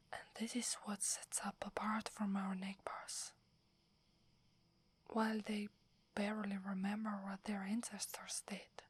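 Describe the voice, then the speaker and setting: A woman with an Italian accent voicing a devoted young female werewolf who defers to her alpha, in a whispered ASMR roleplay. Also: medium pitch. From Italy. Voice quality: breathy whisper. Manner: hesitant, reverent, submissive. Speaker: voice actress.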